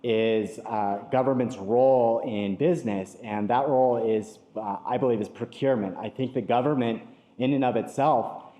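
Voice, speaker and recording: A man's voice: medium pitch, normal speed, dark tone, roomy sound. A man answering a forum question as a city candidate, earnest and a bit hesitant.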